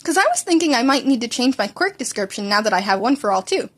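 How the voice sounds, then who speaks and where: A woman voicing an excitable, polite teenage boy hero-in-training who rambles and stammers, in a story reading. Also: on the high side, fast talker. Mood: chatty, thoughtful.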